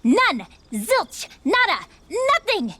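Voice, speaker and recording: A woman's voice: extremely high pitch, huge pitch swings, slow pace, sharp tone, dry recording, full character voice. An actress playing a feisty, sassy, defensive teenage mage girl in a video game cutscene. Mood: huffy. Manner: snippy, dismissive. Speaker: voice actor in a fantasy video game.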